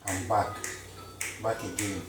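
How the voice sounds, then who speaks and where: A man, a Luganda speaker, chatting casually to viewers as he cooks in a home kitchen, slow and repetitive. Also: on the low side, fairly even intonation, roomy sound, distant mic, music in the background. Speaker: cooking vlogger. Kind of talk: casual live-stream chat.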